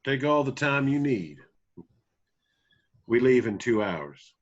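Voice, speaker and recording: A man's voice: mid-range voice, expressive, takes it slowly, lo-fi sound. A man reading an easygoing, teasing country band singer with folksy phrasing in a screenplay table read. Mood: warm, teasing.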